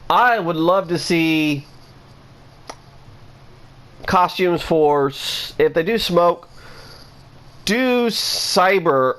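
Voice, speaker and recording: A man with a somewhat high voice talks casually for his own channel. He rambles and restarts his sentences, leaning on fillers as he thinks out loud. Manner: rambling, many restarts and "you know" fillers. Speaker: gaming vlogger. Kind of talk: casual rambling opinion talk.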